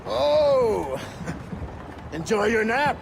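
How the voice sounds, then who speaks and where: An actor playing an arrogant, gloating military commander in a video game, with a gravelly, theatrical and mocking delivery. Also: male voice, very high voice, sing-song, drawn-out words, noisy background, exaggerated voice. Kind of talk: cinematic video game acting.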